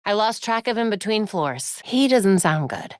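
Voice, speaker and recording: An actress playing a young, determined vigilante superheroine in a video game, with an American accent, caring and dryly witty in an earnest, conversational way. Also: female voice, mid-range voice, animated, moderate pace, no echo, studio quality, close to an everyday voice. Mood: focused, investigative. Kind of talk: scripted game voice lines.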